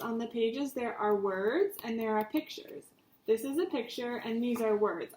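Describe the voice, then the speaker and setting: A woman teacher reading a story aloud to young children, with simple, instructive phrasing and repetition. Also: on the high side.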